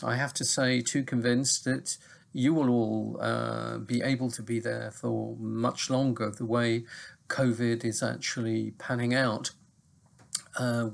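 A man, giving a recorded lecture from his home study to his university students in a measured, explanatory way. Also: mid-range voice, creaky. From Manchester, England. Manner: measured, explanatory, lots of lists. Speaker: university English teacher. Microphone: webcam at desk.

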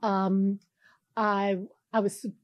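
A woman's voice: mid-range voice, level tone, slow, no room sound, clean studio sound. An older woman, a guest, talking animatedly in a group chat, often restarting her words and laughing.

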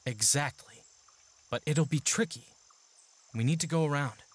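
An actor voicing a serious, curt young mercenary in a fantasy audio drama. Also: male voice, on the high side, moderate pace, breathy edge. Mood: focused, hushed. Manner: curt, earnest, tactical. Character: serious, gruff-mannered young male mercenary in training. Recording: faint music behind the voice.

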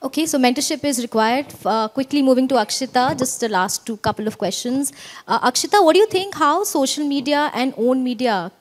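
A woman with an Indian English accent moderating a conference panel into a handheld mic, brisk and steering the questions. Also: high voice, expressive, big-room sound. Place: large conference stage.

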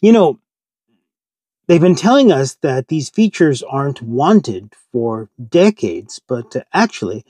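A middle-aged man giving a casual, measured, explanatory update to camera as a channel host, in an informal style. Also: medium pitch, dark tone, dry recording. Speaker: ham radio channel host. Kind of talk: casual update to camera, answering viewer questions.

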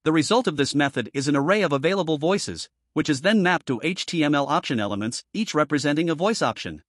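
Higher-pitched man narrating a tutorial. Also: expressive, fast talker, dry recording, clean studio sound. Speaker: programming tutorial presenter.

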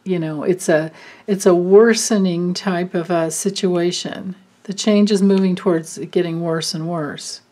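A woman doing a card reading, thinking aloud with many pauses and fillers. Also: mid-range voice, dark tone. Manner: slow, musing, thinking aloud. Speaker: card reader. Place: indoors at a table, hands and cards filmed from above.

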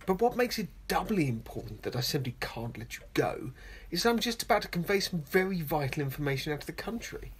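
A woman playing a suave, gloating male professor and secret spy in a radio play, pompous mock courtesy and a husky, breathy voice. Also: low voice, big pitch swings, a little fast, a little hiss or hum. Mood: quietly menacing. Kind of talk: homemade radio play acting.

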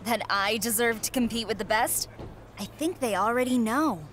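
A woman's voice: on the high side, moderate pace, fairly bright tone, slight background noise, lightly acted. A woman voicing a confident young female rookie wrestler who talks coolly. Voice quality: confident, cool.

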